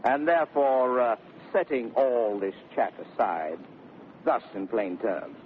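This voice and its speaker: An actor playing a brash, teasing gentleman suitor in 1930s radio Shakespeare, declaiming with mock sweetness. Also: medium pitch, takes it slowly, lightly acted. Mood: exuberant, insistent. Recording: tinny, noisy 1930s radio recording.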